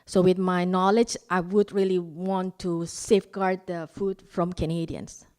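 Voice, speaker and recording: A woman's voice: lowish voice, level tone, measured pace, darkish tone. A woman in her forties from Indonesia, with an Indonesian accent tinged with Australian, telling self-mocking stand-up stories and laughing at her own jokes. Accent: Indonesian with Australian traces. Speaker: stand-up comedian. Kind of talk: live stand-up storytelling.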